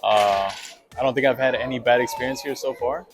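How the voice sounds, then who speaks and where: A man's voice: mid-range voice, fairly even intonation, brisk, over music. A man in his twenties, a street photographer, chatting in an easygoing way with little laughs. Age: 20s. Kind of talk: casual chat.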